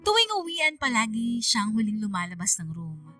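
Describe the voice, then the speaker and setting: A woman voicing a quiet, grateful senior high schoolgirl who tells her story on radio, earnest and reflective, with a Filipino accent. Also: wildly swooping pitch, moderate pace, far from the mic, full character voice. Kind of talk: dramatized first-person radio storytelling. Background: music.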